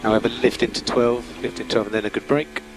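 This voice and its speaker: Calm male race engineer over noisy radio. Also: medium pitch, fast. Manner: calm, methodical, repeats key calls. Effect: team radio transmission. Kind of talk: terse race radio instructions. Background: vehicle hum and noise.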